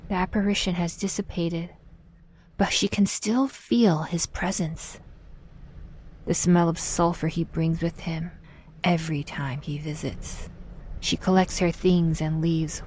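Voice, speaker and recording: A woman reading scripted dramatic narration in a measured, brooding, literary manner. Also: lowish voice, steady tone, darkish tone, slight background noise.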